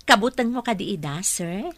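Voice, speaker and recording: Modest young secretary, close and dry. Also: female voice, mid-range voice, expressive, a little slow, sharp tone, no echo. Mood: modest, teasing. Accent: Ilocano. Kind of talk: Ilocano radio drama acting.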